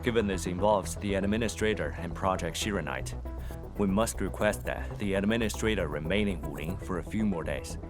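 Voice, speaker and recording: A man plays a stern male task force soldier in a video game. His voice sits low, with formal, clipped, matter-of-fact delivery. Music plays clearly behind him. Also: a little fast, lightly acted. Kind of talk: video game cutscene acting.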